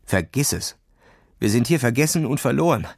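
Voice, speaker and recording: A man's voice: mid-range voice, animated, moderate pace, creaky, breathy edge, dry recording, studio quality. A man voicing a cocky, impatient schoolboy who boasts and taunts in a children's audio story. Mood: taunting. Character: cocky, impatient, daredevil schoolboy acting cool.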